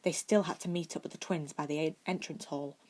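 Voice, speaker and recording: A woman with a slightly low voice reads a scripted story aloud. She is an amateur narrator, reading expressively and now and then tripping over a word. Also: steady tone, brisk, mellow. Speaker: amateur audiobook reader. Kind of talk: scripted fan fiction narration.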